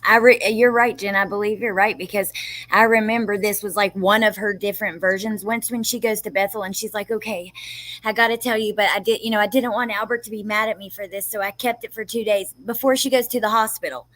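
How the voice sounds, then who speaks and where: A woman's voice: mid-range voice, fairly even intonation, a little fast, fairly bright tone, no echo, low-quality recording. A woman hosting a casual livestream, chatting informally and agreeably with her guests and viewers. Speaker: livestream host. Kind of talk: casual chat, reading out viewer comments.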